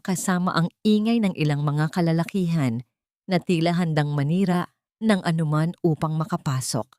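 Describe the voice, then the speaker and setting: A woman storyteller with a fairly low voice. She delivers dramatic first-person narration from a script, recorded close and dry. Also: a little mellow, no echo.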